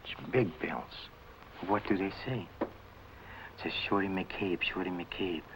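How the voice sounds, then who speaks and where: Low voiced creepy noir blackmailer, fake friendly, repeats himself, hissy old film sound. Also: male voice. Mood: sly, insinuating. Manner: halting, repetitive, insinuating, mock-friendly. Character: sly menacing blackmailing businessman, oily and soft-spoken. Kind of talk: 1950 film noir acting.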